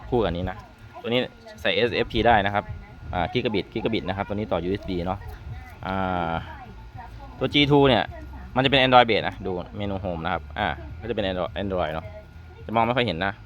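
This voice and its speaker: A man's voice: on the low side, normal speed, a bit bright. A man giving an informal hands-on product walkthrough as a trainer, repeating words as he explains. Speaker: product trainer demonstrating network testers. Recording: muffled lo-fi audio, music underneath. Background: background music.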